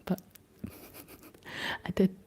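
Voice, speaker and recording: A young woman with a Scottish accent hosting a whispered ASMR livestream, chatting gently through viewer comments in a soft, unhurried way; her voice is deep for a woman. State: slight frog in throat.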